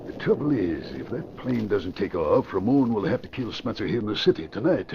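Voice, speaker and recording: Low-voiced nervous villain, scheming and halting, animated pitch, muffled vintage radio. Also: male voice, vocal fry. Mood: tense, calculating. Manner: agitated, halting, swinging between alarm and calculation. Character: anxious scheming male crime boss. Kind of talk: 1940s radio acting. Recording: muffled old radio recording with hiss.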